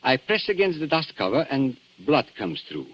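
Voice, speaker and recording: An actor in a 1940s radio drama playing a suave, mocking, menacing antique dealer who speaks with formal, deliberate politeness. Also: male voice, medium pitch, wildly swooping pitch. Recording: muffled vintage 1940s radio recording.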